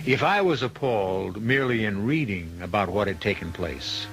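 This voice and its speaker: A man's voice: fairly low pitch, lively intonation, moderate pace, background noise. A man hosting and narrating a suspense radio drama in a wry, macabre, theatrical manner, fond of puns. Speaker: host and narrator of a mystery radio show.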